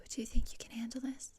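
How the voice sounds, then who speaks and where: A woman playing a morbid, bossy gothic teenage girl in a breathy, deadpan ASMR roleplay whisper, close to the microphone. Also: fairly high pitch. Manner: deadpan, dry, matter-of-fact. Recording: close-up with clear noise and clicks.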